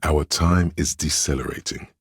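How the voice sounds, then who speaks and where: A man playing a grave, erudite inner encyclopedia voice in a game, narrating in a measured, dryly sardonic way with a gravelly, husky bass. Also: steady tone, no room sound, clean studio sound, full character voice. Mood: learned, expository.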